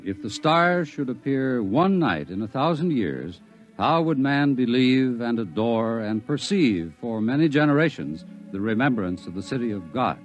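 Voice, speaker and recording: Male radio-drama narrator, dramatic, measured, lively pitch, faint music underneath.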